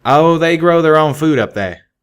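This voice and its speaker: A man voicing a brash, deadpan pegasus stallion with a folksy, nonchalant cowboy drawl for a story. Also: on the high side, moderate pace, smooth, voice pushed up, lightly acted. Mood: deadpan, teasing.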